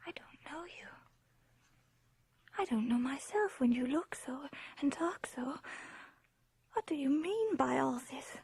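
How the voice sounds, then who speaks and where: An actress playing an innocent, troubled young gentlewoman in a radio drama, speaking gently and earnestly. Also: sits high, lively intonation, measured pace, vocal fry, long pauses, no room sound, full character voice. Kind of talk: 1980s radio drama acting and narration.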